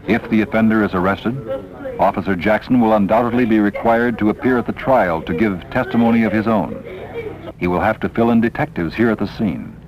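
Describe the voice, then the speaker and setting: A man reading scripted documentary narration in a formal, measured, emphatic way. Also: fairly low pitch, crowd noise, low-quality recording. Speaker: training film narrator. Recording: 1970s training film soundtrack.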